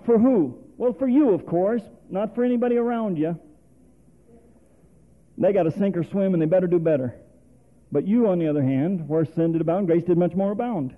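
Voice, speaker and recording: A male preacher, delivering a sermon full of rhetorical questions and calls for response. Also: high-pitched. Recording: tinny phone-like sermon recording.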